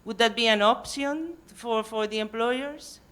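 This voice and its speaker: Seminar speaker, sharp bright voice, asking the panel stuff. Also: mid-range voice, unhurried, slight background noise. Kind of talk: academic panel discussion. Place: university seminar room.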